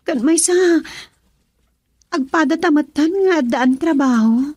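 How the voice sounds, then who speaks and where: A woman's voice: high voice, a little slow, darkish tone, dry recording, studio quality. A woman playing a frustrated young girlfriend in an Ilocano radio drama, emotional and pleading as she longs to marry.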